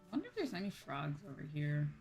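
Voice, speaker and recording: A woman's voice: low voice, wildly swooping pitch, fast talker, music in the background. A woman livestreamer chatting casually while gaming, blunt and swearing freely, repeating her points for emphasis. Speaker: livestream host, VTuber.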